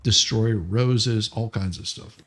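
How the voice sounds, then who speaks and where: A man chatting casually as a livestream co-host, telling stories in a halting way with repeated words. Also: on the low side, fairly even intonation, fairly bright tone.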